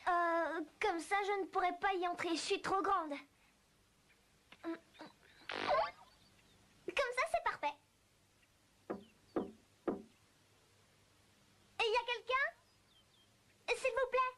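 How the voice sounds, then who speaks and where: A woman voicing a polite, bewildered little girl in an animated fairy tale, hesitant and earnest as if talking to herself. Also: very high voice, slow pace, long pauses, exaggerated voice. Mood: musing. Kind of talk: French animated film dubbing.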